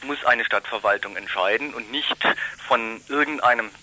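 A man giving a political statement in an interview, halting but emphatic, repeating phrases for stress. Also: mid-range voice, pushed and bright, low-quality recording. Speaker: local political list representative interviewed.

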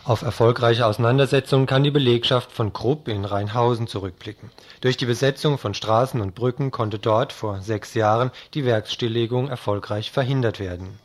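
A man reads a scripted radio news report as its presenter. He speaks at a middling pitch, moving through prepared copy. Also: moderate pace.

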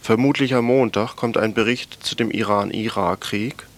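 A man's voice: lowish voice, fairly even intonation, moderate pace. A man presenting radio news, reading scripted political reports and programme notes aloud.

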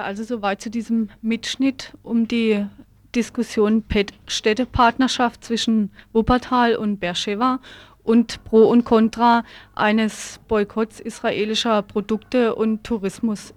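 A woman's voice: on the high side, no echo. A woman presenting live radio in an informal, unscripted way from a studio.

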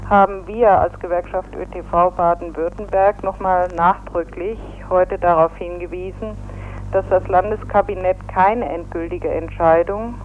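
A woman, a union press spokesperson, giving a measured, formal statement in a phone interview. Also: on the low side. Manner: formal, measured, legalistic phrasing. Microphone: telephone. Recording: tinny phone line with faint hiss.

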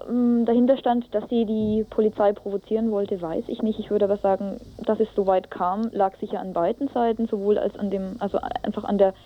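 A woman giving an informal eyewitness account as a radio reporter, often searching for words in a colloquial way. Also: mid-range voice. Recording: dull lo-fi radio tape.

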